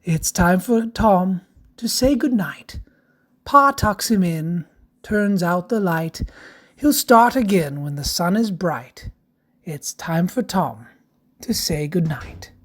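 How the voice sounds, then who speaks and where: A woman narrating a rhyming children's picture book in a theatrical, sing-song, deliberate way. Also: fairly low pitch, animated. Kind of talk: dramatic read-aloud of a rhyming picture book.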